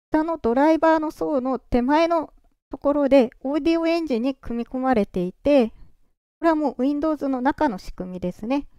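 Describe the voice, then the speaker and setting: A presenter walking through software setup step by step in a calm, explaining way, their voice run through a pitch-raising voice changer. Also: no echo, clean studio sound. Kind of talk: screen-recorded software walkthrough.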